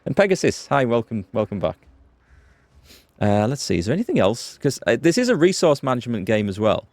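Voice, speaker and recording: A man's voice: medium pitch, very animated, a little fast, a little mellow, dry recording. A man streaming a strategy game live, chatting casually, thinking aloud and greeting viewers by name. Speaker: gaming streamer. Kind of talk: live let's play commentary.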